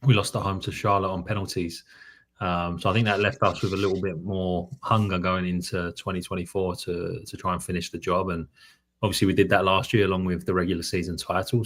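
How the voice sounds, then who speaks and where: A man, a head coach, answering interview questions in a measured, steady way. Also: deep voice. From from abroad, later lived in New York. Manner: measured, steady, with frequent "um" and "I think".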